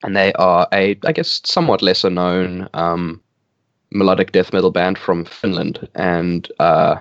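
Man, 20s, Afrikaans native speaker from South Africa; very low voice, relaxed and laughing, dry muffled recording. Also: a little slow, no echo. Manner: relaxed, laughs often, hesitant restarts. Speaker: band bassist. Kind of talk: casual interview chat.

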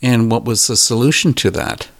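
Low gravelly host guy asking short questions, dry close sound. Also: normal speed, no echo. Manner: brief, encouraging questions. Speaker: interview host. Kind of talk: interview questions.

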